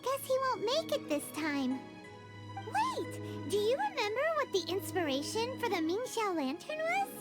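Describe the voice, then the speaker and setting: Squeaky little fairy sidekick, super high and bouncy, bossy brat, loud game music behind. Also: female voice, huge pitch swings, unhurried, full character voice. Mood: thoughtful. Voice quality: high squeaky childlike voice, refers to herself in third person. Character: tiny floating female fairy companion, bossy and bratty. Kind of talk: video game voice acting.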